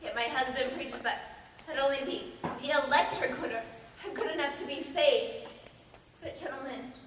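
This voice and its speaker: In a very echoey hall, heard from a distance, a woman acts an earnest Victorian minister's wife in a stage play. Her high voice is formal and pleading as she reasons for her own sanity. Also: normal speed, lightly acted. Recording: echoey hall, distant mic, faint noise.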